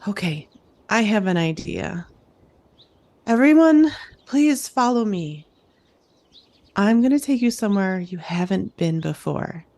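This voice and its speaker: A woman's voice: mid-range voice, lively intonation, a little slow, long pauses, darkish tone. A woman playing a friendly, reassuring summer camp director who encourages her campers in an audio drama. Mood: upbeat, encouraging. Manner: encouraging, addresses listeners as campers.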